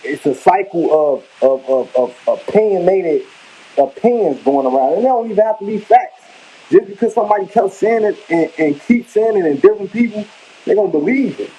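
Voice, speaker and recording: A man's voice: sits high, lively intonation, fairly quick, dark tone, husky, poor audio. A man from Chicago with a Chicago accent, talking casually on a live vlog in a rambling, emphatic way. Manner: rambling, emphatic, slangy, repeats himself. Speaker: vlogger. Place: home kitchen.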